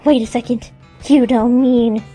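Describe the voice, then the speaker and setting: A woman's voice: fairly high pitch, fairly even intonation, measured pace, warm tone, faint music behind. An actress playing a perky, cocky, playful teenage huntress girl in an audio drama. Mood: confident.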